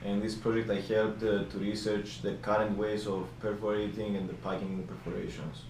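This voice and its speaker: A young man reads a student engineering presentation. His voice sits low and stays flat, and he takes each phrase carefully, as if reading from notes. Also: normal speed, a little hiss or hum, poor audio. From Greece. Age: young adult. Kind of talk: scripted class presentation.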